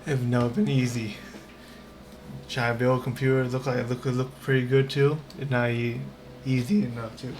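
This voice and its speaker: A woman streamer giving casual, offhand commentary over gameplay in halting, non-standard grammar. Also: extremely low pitch, flat delivery, a little mellow, a little hiss or hum. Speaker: game streamer. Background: game music.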